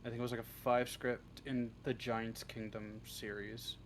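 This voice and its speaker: A man's voice: medium pitch, flat delivery, moderate pace, a little hiss or hum. A man chatting casually as an interview guest, in a joking, self-deprecating way. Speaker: interview guest, script writer.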